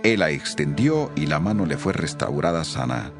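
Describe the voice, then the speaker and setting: A man's voice: rumbling low, expressive, moderate pace. A man narrating scripture from a script in the role of storyteller. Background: background music.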